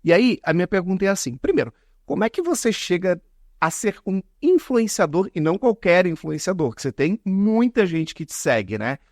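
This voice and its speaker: A man's voice: high voice, sing-song, fairly quick, no room sound, clean studio sound. A man with a Brazilian accent hosting a podcast interview in a chatty, informal, complimentary way. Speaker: podcast host. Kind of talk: conversational interview.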